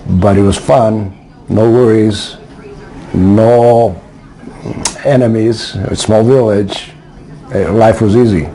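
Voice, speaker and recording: A man in his sixties from Greece, answering interview questions in a casual, hesitant way with many pauses and fillers. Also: lowish voice, warm tone, rough recording. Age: 60s.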